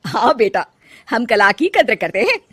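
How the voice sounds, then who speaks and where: A woman's voice: on the high side, very animated, moderate pace, a bit bright, breathy edge, no echo, clean studio sound, exaggerated voice. A woman playing an emphatic, chatty older mother-in-law. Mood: pleased. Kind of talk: Hindi cartoon moral story acting.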